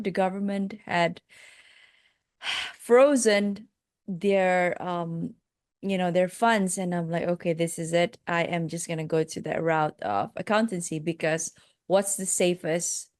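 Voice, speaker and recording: A woman with a Malaysian accent, speaking slowly and in a lowish register as she recounts her path. She talks in a reflective, hesitant way, restarting thoughts and leaning on fillers. The sound is dry and close, with no room around her. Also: no room sound. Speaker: guest, life coach. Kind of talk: telling her life story in a podcast interview.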